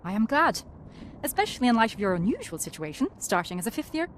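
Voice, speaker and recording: Brisk, dignified headmistress. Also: female voice, a little high, sing-song, a little hiss or hum. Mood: gracious. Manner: formal, composed. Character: dignified, authoritative headmistress of a wizard school. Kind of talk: scripted video game acting.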